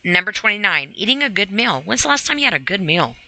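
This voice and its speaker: A podcast host with a very bright, sharp-edged voice at a medium pitch. The delivery is blunt and informal, moving between reading out a self-help list and commenting on it, with repeated phrases and direct questions to the listener. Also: big pitch swings, quick pace, dry recording, rough recording. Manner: emphatic repetition of phrases.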